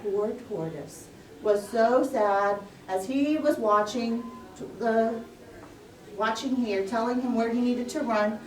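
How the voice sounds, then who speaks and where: A woman telling a puppet story to young children, with simple, deliberate, expressive phrasing. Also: fairly high pitch. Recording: roomy echo, distant microphone.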